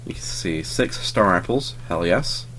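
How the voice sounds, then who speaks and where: Guy, low voice, bit off from a cold, sleepy, umming through his game, faint hiss behind. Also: expressive, unhurried, sharp tone, rough recording. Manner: rambling and sleepy, lots of ums, swears offhandedly. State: just over a cold, voice a bit off. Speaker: let's play host. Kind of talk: casual gameplay commentary.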